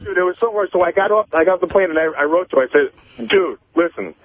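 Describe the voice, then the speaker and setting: A man's voice: high voice, expressive, quick pace. A man calling into a radio show over a phone, casually telling personal stories in a rambling, profane, repetitive way. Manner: rambling, profane, repeats himself. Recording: tinny phone line.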